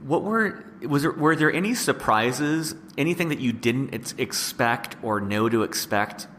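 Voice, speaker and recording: Bright male interviewer in a reverberant space. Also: medium pitch, lively intonation, measured pace. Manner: tentative, restarts questions and gropes for words. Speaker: podcast interviewer, host. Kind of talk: conversational interview. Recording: long reverb, faint background noise.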